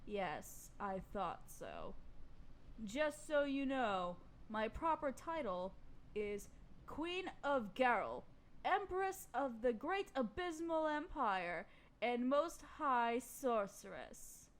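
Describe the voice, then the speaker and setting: Woman acting a haughty, high-voiced evil queen. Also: very animated. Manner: formal, pompous, condescending, slow deliberate delivery. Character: imperious evil queen and sorceress, haughty, menacing, dominant. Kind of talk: scripted fantasy audio roleplay addressed to listener.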